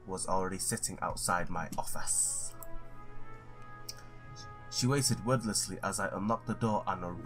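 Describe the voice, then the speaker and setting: A man doing a let's play, reading story text aloud with informal asides and stumbling now and then over the words. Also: fairly low pitch. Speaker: gamer reading a visual novel aloud.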